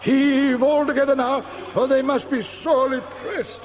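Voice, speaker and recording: A man's voice: very high-pitched, flat delivery, a little slow. An actor playing a kindly, commanding old showman-wizard in an audio drama, with theatrical, archaic phrasing. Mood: solemn, gentle. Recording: loud music behind.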